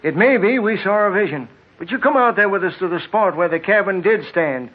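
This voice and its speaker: An actor playing an urgent, insistent desert traveler with a husky voice, earnest and melodramatic, in a vintage radio drama. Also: male voice, high-pitched, animated, a little fast. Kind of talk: 1940s radio drama acting. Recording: tinny vintage radio recording.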